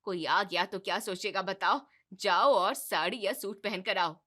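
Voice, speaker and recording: A woman voicing a strict middle-aged mother-in-law in a cartoon story, nagging in a loud, scolding way. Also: medium pitch, normal speed, voice pushed down, lightly acted.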